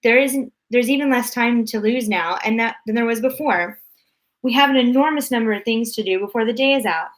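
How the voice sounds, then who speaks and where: A woman voicing an excitable, eccentric grown man in a storybook reading, talking in bouncy, grand exclamations. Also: fairly high pitch, fairly quick, lightly acted. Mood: delighted.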